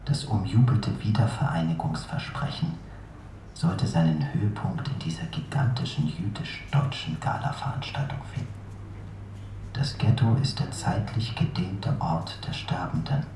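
Man playing grave commentator, very low male voice, declamatory radio play monologue, reverberant, background noise. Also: normal speed, dark tone, close to an everyday voice. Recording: echoey space with clear background noise.

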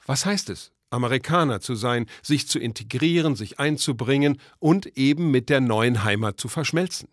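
A man's voice: medium pitch, expressive, moderate pace, few pauses, no echo, clean studio sound. A man, a native German speaker, reading scripted documentary narration as a radio reporter.

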